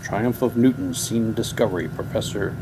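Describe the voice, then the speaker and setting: A man casually reading printed material aloud in a halting way, with muttered asides to himself. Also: on the low side, a little mellow, a little hiss or hum, rough recording. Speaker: unboxing presenter. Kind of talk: casual unboxing, reading old newspaper props aloud.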